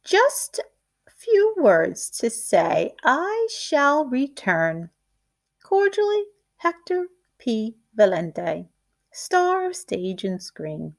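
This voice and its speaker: Female reader as a male stage star, pompous, theatrical, insistent, measured pace, storybook character. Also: a little high, huge pitch swings, vocal fry, pitched above the performer's own voice, exaggerated voice. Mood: formal.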